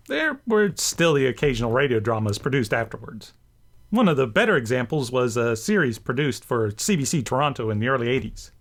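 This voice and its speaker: A man hosting a scripted video essay, narrating with enthusiasm and an emphatic, theatrical delivery. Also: mid-range voice. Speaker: host and writer.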